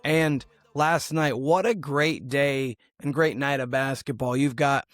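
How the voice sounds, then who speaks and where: A hoarse man hosting a solo basketball show, talking through games and stats in a casual, rambling way. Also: mid-range voice, steady tone, measured pace, no echo, soft background music. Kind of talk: solo sports analysis podcast.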